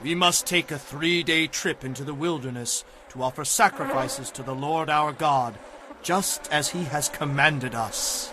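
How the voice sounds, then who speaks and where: An actor playing a firm, solemn Hebrew prophet in a dramatized audio Bible, speaking in earnest declarations. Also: male voice, fairly high pitch, unhurried, noisy background, natural voice. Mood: firm, warning.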